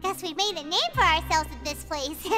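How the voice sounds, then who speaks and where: A woman voicing a small, childlike fairy companion in a video game, chirpy, excitable and cheeky. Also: extremely high pitch, moderate pace, soft background music. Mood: excited, chatty. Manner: exclamatory, cheeky, expressive.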